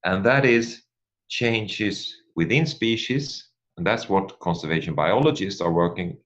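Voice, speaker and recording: Middle-aged male academic, Swedish accent, low register, halting lecture delivery, dull recording. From Sweden. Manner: hesitant, halting delivery with repeated words. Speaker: academic guest speaker, population geneticist. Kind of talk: academic presentation.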